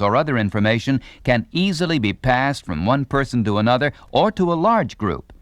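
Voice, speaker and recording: A man's voice: medium pitch, dry recording. A man reading scripted instructional narration with a polished, formal announcer delivery.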